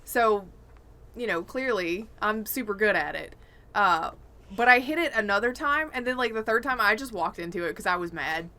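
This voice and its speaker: A woman with a bright, forceful voice chats casually as a podcast co-host. Her pitch is average for a woman, and she tells anecdotes with exasperated animation, mimicking bits of dialogue. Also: expressive, normal speed. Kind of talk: casual gaming podcast chat.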